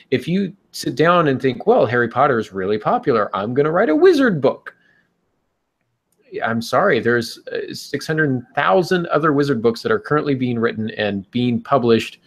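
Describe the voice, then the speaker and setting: A man talking conversationally as a podcast guest, giving long-winded advice on writing fiction and thinking aloud as he goes. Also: mid-range voice.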